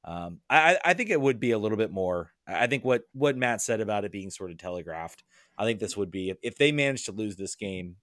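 Rambling soccer fan, low dry voice. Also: male voice, expressive, a little fast, a bit bright, no room sound. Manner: rambling, many restarts and fillers. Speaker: podcast guest, soccer fan. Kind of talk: casual podcast chat.

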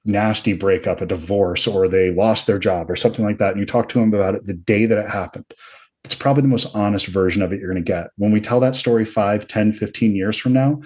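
An American man hosting a podcast, talking casually and reflectively with a slightly husky voice. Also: lowish voice, quick pace, darkish tone. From Georgia and New Jersey, United States. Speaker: podcast host.

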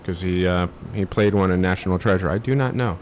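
A man co-hosting a podcast in casual chat, talking haltingly as he searches for names. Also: low voice, slight background noise. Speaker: podcast co-host.

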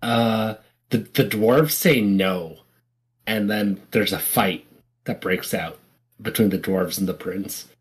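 A man's voice: lowish voice, slow pace, husky. A man co-hosting a podcast in casual banter, with a mildly exasperated manner. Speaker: podcast co-host and quiz contestant.

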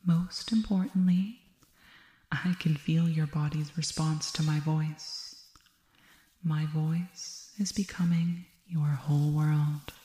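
Woman playing a female alien hypnotist, soft breathy whisper, extremely slow, mellow, serene and otherworldly, soothing ASMR roleplay. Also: deep voice, fairly even intonation, reverberant. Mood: serene, hypnotic. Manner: hushed, slow, deliberate, repeating soothing words.

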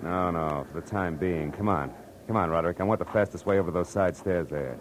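A man's voice: sits low, fairly quick, close to an everyday voice. A man acting a terse, wry American private detective in a 1940s radio drama. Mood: wry, brisk. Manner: terse, dry, wisecracking. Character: hard-boiled, wry, commanding male private detective. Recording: noisy old radio recording.

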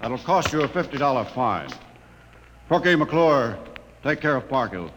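A man playing a steady, authoritative but reasonable spaceship captain in an old radio drama. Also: medium pitch, a little slow, over music. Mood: stern. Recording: reverberant vintage radio recording, faint noise.

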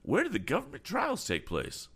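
Man putting on an excitable, eccentric old gentleman. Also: sits high, moderate pace, husky, pitched above the performer's own voice, full character voice. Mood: eager, curious. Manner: blustery exclamations, astonished questions. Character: eccentric, excitable older gentleman, exclaiming and fretting. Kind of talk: audiobook character voice.

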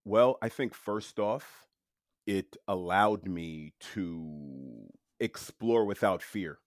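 Interview guest: New man, very low voice, unhurried and halting, lots of fillers, dry close sound. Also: no echo. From Queens, New York City. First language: French. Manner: relaxed, halting, with many fillers and restarts. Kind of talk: casual storytelling.

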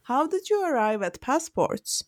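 Woman, podcast host, higher voice, polite, measured, scripted, dry sound. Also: big pitch swings, no room sound. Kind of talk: scripted interview introduction.

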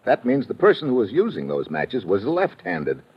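An actor playing a shrewd, hard-boiled detective with blunt, colloquial delivery in an old radio crime drama. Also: male voice, lowish voice, wildly swooping pitch, normal speed, husky, exaggerated voice. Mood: urgent, sure. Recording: dull lo-fi old radio recording.

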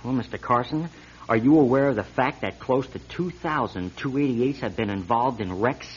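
An actor playing an earnest, insistent auto lab technician turned whistleblower in a radio drama, hesitant at times and urgent at others. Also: male voice, medium pitch, normal speed, breathy edge, close to an everyday voice. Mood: earnest, explaining. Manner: hesitant, then urgent and emphatic. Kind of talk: 1970s-style radio drama acting. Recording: dull lo-fi radio recording.

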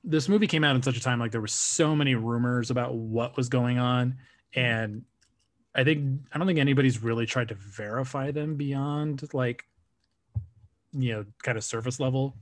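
A man's voice: medium pitch, moderate pace. A man in his thirties from Southern California, hosting a casual fan podcast and rambling with lots of fillers and restarts. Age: 30s. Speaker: podcast host. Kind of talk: casual chat.

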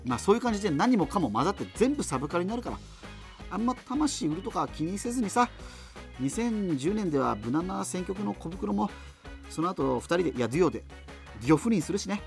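A man's voice: high voice, big pitch swings, fairly quick, vocal fry, full character voice. An actor playing a rambling, opinionated, half-joking male host of a fictional subculture radio show. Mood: rambling, musing. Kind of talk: radio drama as late-night talk show. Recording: music behind the voice. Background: music.

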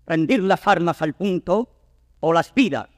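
A stern noble jailer gives orders in a long hall reverb. The voice sits fairly high, and the verse is formal and authoritative, in classical theatre style. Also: male voice, expressive, unhurried, clean studio sound. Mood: stern authority. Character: stern commanding prison warden, older nobleman. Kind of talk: classical Spanish verse stage acting.

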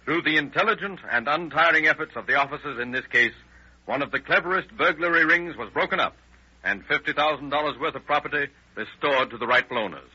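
Solemn radio announcer, noisy recording. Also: male voice, a little high, fast, sharp tone. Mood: solemn, formal. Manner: formal, moralizing, declamatory. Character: solemn male crime-show announcer. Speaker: announcer and narrator. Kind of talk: solemn 1930s radio scripted narration. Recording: noisy, muffled old radio broadcast.

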